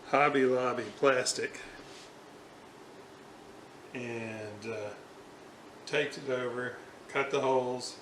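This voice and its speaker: Slow, halting older man, slightly noisy recording. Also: mid-range voice. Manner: halting, pausing mid-sentence. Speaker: hobbyist restorer demonstrating a repair. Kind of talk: unscripted hands-on workshop demonstration. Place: indoors at a home kitchen counter. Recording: noisy home video, room ambience.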